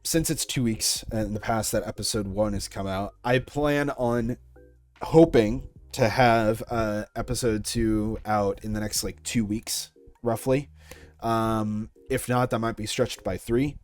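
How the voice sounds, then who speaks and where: Young man, low-pitched voice, informal and chatty, casual interview answers, music in the background. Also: fairly even intonation, measured pace, a little mellow. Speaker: series creator being interviewed.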